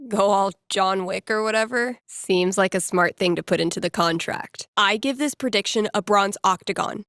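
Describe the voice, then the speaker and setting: A young woman hosting a late-night radio call-in show in a video game. She is wry and encouraging, spinning playful psychic predictions with mock solemnity. Her delivery is conversational at an average pitch, recorded dry with no room sound. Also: creaky, fairly bright tone, no echo. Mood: wry, thoughtful. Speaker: radio host.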